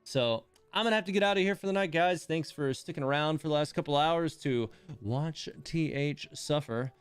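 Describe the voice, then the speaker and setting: Clear-voiced man chatting casually on a game stream. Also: a little high, moderate pace, dry recording, faint music behind. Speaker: game livestreamer, host. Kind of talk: casual livestream chat while playing a game.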